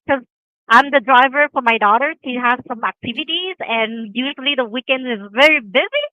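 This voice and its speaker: Brief: woman from Vietnam, higher voice, plain and friendly, tinny dry phone-line sound. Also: dry recording. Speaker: guest. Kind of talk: casual self-introduction. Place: coffee shop.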